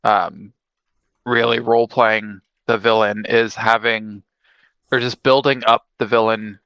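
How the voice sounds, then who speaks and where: Bright-voiced man chatting on a podcast. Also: on the low side, no room sound, highs cut off. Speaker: podcast co-host. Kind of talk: casual explanatory podcast chat.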